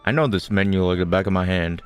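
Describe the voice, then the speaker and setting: A man's voice: low-pitched, fast talker, music in the background. Casual male gamer reacting aloud. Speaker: game streamer. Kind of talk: casual gameplay reaction commentary.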